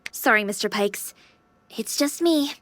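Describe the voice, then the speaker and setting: A woman's voice: a little high, expressive, a little slow, a bit bright, dry recording. A woman voicing a playful young female AI companion in a sci-fi visual novel, polite with a teasing edge. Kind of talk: visual novel game voice acting. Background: music.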